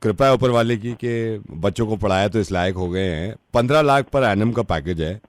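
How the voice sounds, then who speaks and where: A man playing a polite, worried middle-aged father on a prank call, courteous, measured and confiding. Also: on the low side, no room sound, voice pushed down, close to an everyday voice. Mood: polite, concerned.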